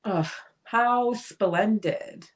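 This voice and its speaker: A woman's voice: mid-range voice, drawn-out words. A woman playing an aloof, sardonic bohemian artist in a dramatic play reading. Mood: cool, veiled disdain.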